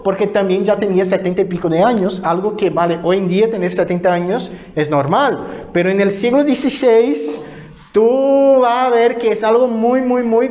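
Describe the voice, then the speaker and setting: A man with a distinctly high-pitched voice and a Brazilian accent speaks as a native Portuguese speaker. He lectures like a pastor teaching his congregation, informal and didactic. He keeps punctuating his explanations with short checking questions. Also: darkish tone. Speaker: pastor giving a church history lecture.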